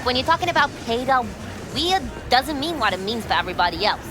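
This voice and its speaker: Brash sweary market lady in her 40s, highish voice, super animated, sardonic. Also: fast talker, music in the background. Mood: scornful. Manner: sardonic, slangy, profane. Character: brash, cynical, foul-mouthed woman market boss, forties. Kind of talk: video game dialogue acting.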